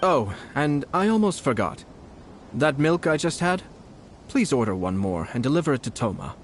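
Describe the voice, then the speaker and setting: A man playing a composed, shrewd young nobleman in a video game, speaking formally and deliberately. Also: on the high side, very animated, slight background noise. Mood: casual, polite. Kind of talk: video game character acting. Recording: faint music behind the voice.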